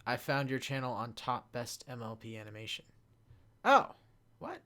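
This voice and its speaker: A young man chatting casually and off the cuff as a panelist, haltingly reading out chat questions and answering them. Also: mid-range voice, steady tone, pushed and bright.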